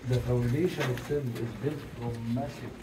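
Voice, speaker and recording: A man's voice: medium pitch, steady tone, normal speed. Echoey, distant male tour guide. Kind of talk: explanatory on-site guiding to a group. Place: echoey ancient stone site. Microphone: picked up from a distance by the host's camera. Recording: echoey, noisy, distant from the mic.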